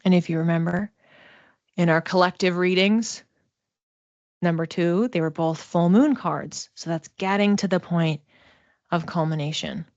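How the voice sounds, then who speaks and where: A woman's voice: on the low side, steady tone, unhurried, pauses often, a little mellow, no echo, muffled recording. A woman giving an informal spiritual card reading to one listener in a reassuring, conversational manner. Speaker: psychic oracle card reader and mentor.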